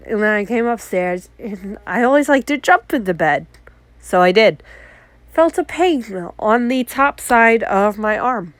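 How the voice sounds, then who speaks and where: Congested woman vlogger, bright-ish pitch, animated storyteller, dead-dry close recording. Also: no room sound. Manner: chatty, animated, acts out her own exclamations. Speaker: art vlogger. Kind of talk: casual story time.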